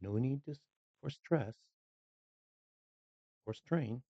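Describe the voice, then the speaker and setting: Slow-paced meditation guide, slightly muffled. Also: mid-range voice, no echo. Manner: calm, unhurried, short phrases with long pauses.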